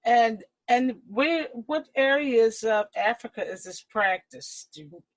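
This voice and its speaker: Slow-talking woman radio host. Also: on the high side, expressive, dry recording. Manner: slow, measured, reacting with soft affirmations. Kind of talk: radio talk show interviewing.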